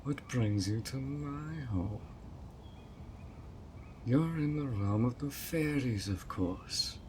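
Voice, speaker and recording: A man's voice: medium pitch, lively intonation, slow, a little mellow, slight background noise. A man voicing a sweet but obsessive male fairy who coaxes a guest in an audio roleplay, with a honeyed, playfully sly manner. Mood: welcoming, delighted. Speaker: fairy host of a tea party.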